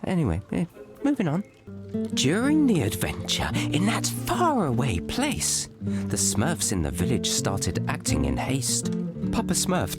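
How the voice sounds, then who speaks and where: A cheerful little blue Smurf poet spinning a rhyming storybook tale. His pitch swoops wildly from low to high, and he lingers over every line at an unhurried pace. Soft guitar music plays beneath him. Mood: rambling aside. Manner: theatrical, singsong, trails off into bashful asides. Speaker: narrator. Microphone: studio voice-over. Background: gentle guitar music.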